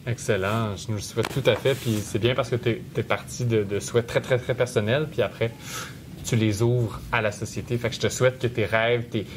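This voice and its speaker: A man's voice: mid-range voice, fairly even intonation, fairly quick, background noise. Encouraging man interviewer. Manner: encouraging, repeats "très bien", hesitant fillers. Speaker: interviewer hosting a school podcast. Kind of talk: friendly podcast interview.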